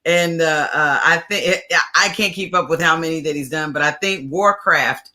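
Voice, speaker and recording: A woman's voice: deep voice, normal speed, sharp tone. A woman co-hosting a podcast, talking casually and rambling with many restarts and repeated words. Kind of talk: casual chat.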